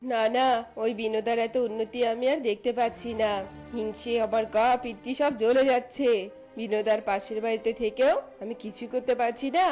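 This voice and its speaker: A woman's voice: a little high, fairly even intonation, a little fast, a little mellow, faint music behind, voice pushed down, close to an everyday voice. A woman voicing a jealous, greedy village neighbour in a cartoon fairy tale, talking in a dramatic, complaining way. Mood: bitter envy.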